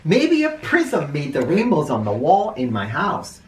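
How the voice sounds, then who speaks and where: A man tells a children's story in a roomy, echoing space, some distance from the microphone. His delivery swings widely in pitch, theatrical and animated, leaning on key words with drawn-out emphasis. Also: a little hiss or hum. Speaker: children's read-aloud storyteller. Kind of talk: expressive storybook read-aloud. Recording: roomy echo, distant mic.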